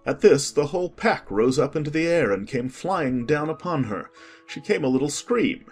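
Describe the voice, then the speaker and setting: Husky male audiobook narrator. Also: fairly high pitch, expressive, moderate pace, soft background music.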